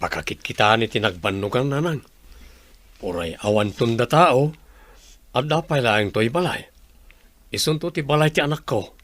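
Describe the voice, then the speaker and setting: A man's voice: mid-range voice, animated, moderate pace, pauses often, a bit bright, dry recording. A man playing a weary, hardworking young father from a village in a Filipino radio drama. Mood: weary, earnest.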